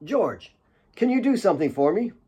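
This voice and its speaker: A man's voice: sits high, very animated, normal speed, mellow, husky, pitched above the performer's own voice, full character voice. A man voicing a commanding, earnest young leader of mine workers, firm and direct, in an audiobook.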